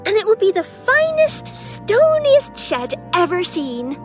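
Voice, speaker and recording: A grand, haughty lady engine with a high voice that sweeps up and down, as a male narrator puts her on. She speaks slowly and proudly. It's a children's storybook reading. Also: wildly swooping pitch, soft background music, exaggerated voice.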